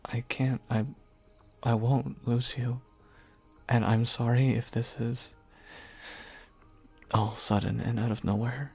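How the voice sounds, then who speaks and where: A man voicing a devoted young knight who earnestly confesses his love, speaking softly and haltingly. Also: medium pitch, level tone, gravelly, breathy edge, faint music behind, heavily acted. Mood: tender. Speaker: voice actor. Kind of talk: audio roleplay acting.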